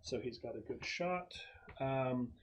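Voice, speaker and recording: Man, game master, improvised narration, halting delivery, medium pitch, some background noise and clicking. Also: highs cut off. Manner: halting, thinking aloud.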